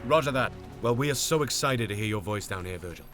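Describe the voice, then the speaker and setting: An actor playing a commanding, no-nonsense mission commander in an audio drama, terse and authoritative. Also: male voice, fairly low pitch, lively intonation, fast talker, a bit bright, soft background music.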